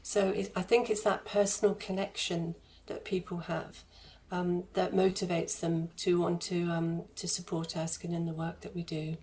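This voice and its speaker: A woman giving explanatory interview answers as a charity guest, in halting phrases with repeated words. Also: on the low side, level tone, darkish tone. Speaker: guest, charity head of fundraising and communications.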